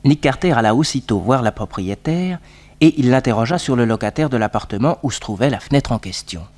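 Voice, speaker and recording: Man playing eager detective's nephew, very varied intonation, lively storytelling, radio serial narration. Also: mid-range voice, a little fast, close to an everyday voice. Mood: eager, suspenseful.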